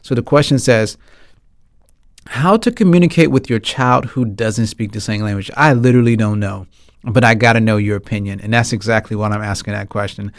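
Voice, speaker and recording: An American man hosting a casual film talk show, chatting to his audience in an animated, playful way with frequent asides. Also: on the low side, a little fast, dry recording, studio quality. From United States. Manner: animated, playful, conversational asides, often asking "right?". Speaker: podcast host. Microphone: close studio mic on boom arm, headphones.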